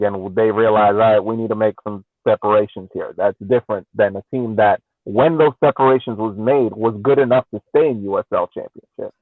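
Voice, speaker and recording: A man giving casual sports analysis as a podcast co-host, speaking haltingly and repeating words as he searches for them. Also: medium pitch, dry recording. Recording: tinny, phone-like narrowband call audio.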